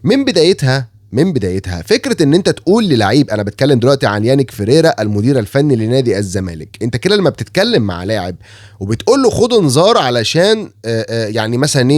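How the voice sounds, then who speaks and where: A man's voice: medium pitch, darkish tone, dry recording, clean studio sound. A man with an Egyptian Arabic accent and a cold, giving a critical casual monologue about football, with many filler sounds and restarts. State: has a cold, hoarse. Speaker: football commentator.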